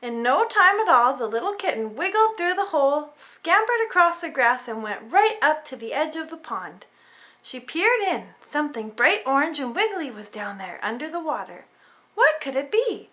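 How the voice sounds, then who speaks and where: A woman reading a children's storybook aloud in an animated, dramatic way for small children. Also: high voice, moderate pace. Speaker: storybook reader. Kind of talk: expressive children's read-aloud narration.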